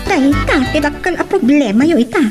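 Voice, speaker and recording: A woman with an Ilocano accent playing a gentle young sweetheart in a radio drama. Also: high-pitched, lively intonation, fairly quick, distant mic, over music. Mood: uneasy.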